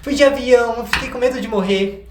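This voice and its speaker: A young Brazilian man chatting casually as an interview guest, an animated storyteller who acts out quotes. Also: very high-pitched, brisk. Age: young adult. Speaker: guest, singer and artist. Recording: echoey room with some background noise.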